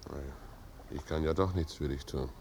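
A man's voice: a bass voice, steady tone, moderate pace, darkish tone, a little hiss or hum. An actor playing a shy, melancholy village-born man in a 1950s German radio drama, subdued and hesitant. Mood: resigned.

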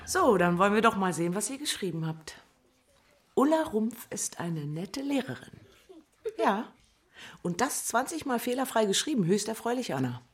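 A woman voicing a prim schoolteacher who speaks slowly and theatrically. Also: medium pitch, sing-song, breathy edge, faint music behind. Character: prim primary-school teacher, middle-aged woman.

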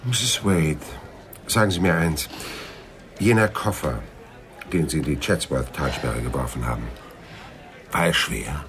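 An actor playing a shrewd, hard-boiled private detective in a radio crime drama, blunt, probing and dry. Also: male voice, extremely low pitch, unhurried, pauses often, background noise. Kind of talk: 1990s German radio crime drama acting.

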